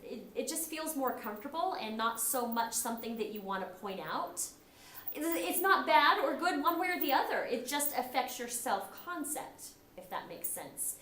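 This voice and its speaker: A woman's voice: high voice, fairly bright tone. A middle-aged woman from the United States teaching a class in a conversational way, often acting out the remarks she quotes. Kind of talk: recorded classroom lecture. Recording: echoey room.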